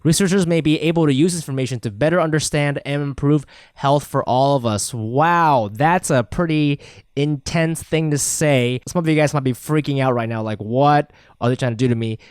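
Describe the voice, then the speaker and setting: A man's voice: fairly high pitch, moderate pace, darkish tone, smooth, no echo, clean studio sound. A man co-hosting a podcast in an informal, joking way, in casual chat and chatty host-read spots.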